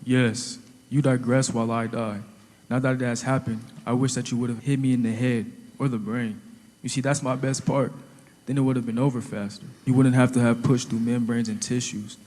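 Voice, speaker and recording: A man's voice: mid-range voice, level tone, moderate pace, a little mellow, close to an everyday voice. Wry teenage boy narrator, acted by a man, reverberant. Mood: wry, indignant. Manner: wry, conversational storytelling. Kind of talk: audio drama first-person narration.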